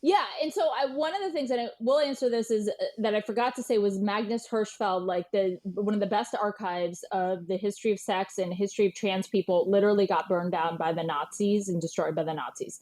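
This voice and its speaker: A woman's voice: medium pitch, fairly quick, few pauses, a little mellow. Blunt, casual woman telling stories. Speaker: interview guest, writer and historian. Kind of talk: animated conversational storytelling.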